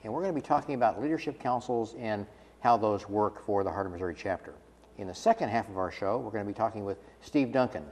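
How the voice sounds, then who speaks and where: A man's voice: lowish voice, fast talker, darkish tone. A man hosting a local TV interview show, chatting friendly with frequent fillers and restarts. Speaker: host, chapter executive director. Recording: echoey studio with faint hiss.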